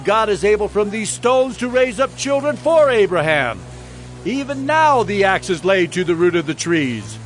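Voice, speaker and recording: A male actor as a fiery desert prophet with a high, widely swinging voice. He declaims stern warnings in audio Bible drama style, with faint music underneath. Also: fairly bright tone, full character voice, low-quality recording. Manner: declamatory, admonishing.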